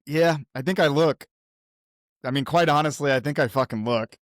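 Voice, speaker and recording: A man's voice: fairly high pitch, normal speed, dry recording, clean studio sound. A man chatting casually as a player in a tabletop game, self-deprecating and prone to swearing.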